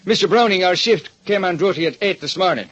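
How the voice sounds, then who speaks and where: An actor playing a veteran jail guard who speaks politely and matter-of-factly. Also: male voice, high-pitched, expressive, fast talker, fairly bright tone, no room sound. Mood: earnest. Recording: dull lo-fi old radio broadcast.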